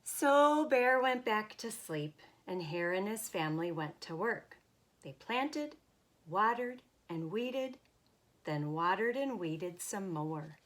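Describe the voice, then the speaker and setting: A woman reading a children's picture book aloud in an expressive, deliberate, emphatic way. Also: mid-range voice.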